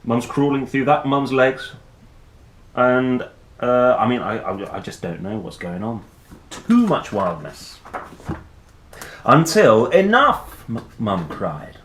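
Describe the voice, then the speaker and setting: A man hosting a children's read-aloud, telling a picture book in a playful, expressive, dramatic way with chatty asides. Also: medium pitch, slow, pauses often. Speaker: host reading picture books aloud. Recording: roomy echo, faint background noise.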